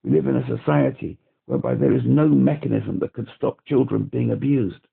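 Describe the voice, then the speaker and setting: Gravelly British man on a phone line. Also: medium pitch, brisk. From Britain. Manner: rambling, agitated, repeats himself. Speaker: caller. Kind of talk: phone call to a helpline. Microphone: telephone.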